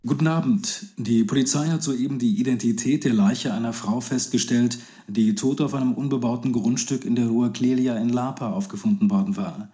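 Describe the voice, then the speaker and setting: A man's voice: mid-range voice, a little fast, hardly any pauses, mellow, natural voice. An actor playing a sober, formal male news announcer in a radio drama. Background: music.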